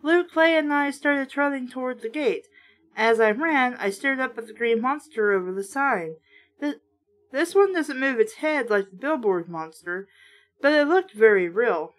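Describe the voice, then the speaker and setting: High-voiced woman reading aloud. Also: expressive, unhurried. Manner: sardonic, blunt, swearing asides. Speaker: host reading a children's horror book aloud with commentary. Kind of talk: storybook narration with casual asides.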